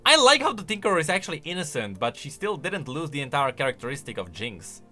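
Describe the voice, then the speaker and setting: A man's voice: fairly high pitch, big pitch swings, fast, sharp tone, faint music behind. A man giving casual, enthusiastic reaction commentary, explaining game lore as he goes.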